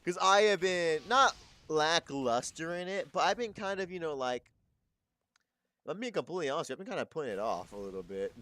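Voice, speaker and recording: Young man with a lively, swinging voice. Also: a little high, brisk. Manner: rambling, self-correcting, swears freely. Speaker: live streamer. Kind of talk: casual rambling stream chat.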